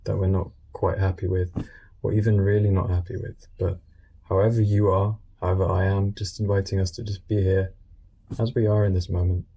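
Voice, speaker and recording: Low, husky man guiding a meditation. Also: little pitch movement, warm tone, muffled recording. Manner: soothing, informal, pausing between short phrases. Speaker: meditation guide. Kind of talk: guided meditation, gentle unscripted talk.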